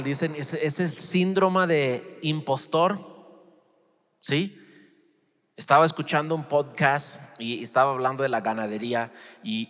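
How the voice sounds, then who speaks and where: A man's voice: on the high side, a bit bright. A man in his forties, a native English speaker, preaching as a pastor in an informal, conversational way, acting out bits of dialogue and putting questions to his listeners. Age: 40s. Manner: informal, acts out imagined dialogue, asks the listeners rhetorical questions. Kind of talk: conversational expository sermon in Spanish as a second language. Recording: reverberant hall with long echo.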